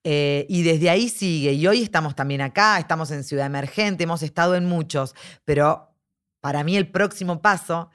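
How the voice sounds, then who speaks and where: A woman's voice: low-pitched, moderate pace, clear, resonant tone. Upbeat Argentine woman in an interview. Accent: Argentine Spanish. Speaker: interviewee, immersive dome cinema organizer. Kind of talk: casual interview.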